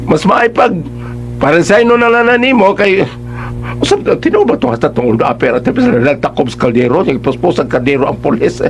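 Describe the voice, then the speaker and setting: A man's voice: high voice, lively intonation, brisk, a little hiss or hum. A man with a Cebuano accent giving conversational, emphatic advice as a radio host.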